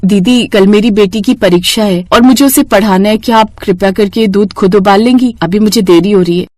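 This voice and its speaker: Cartoon housemaid, played by a female narrator: humble, deferential, sincere, mid-pitched. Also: a little fast, a little mellow, dry recording, natural voice. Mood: polite, eager. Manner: polite, deferential, earnest. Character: humble, earnest poor housemaid, a grateful daughter-in-law. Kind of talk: Hindi cartoon story dialogue.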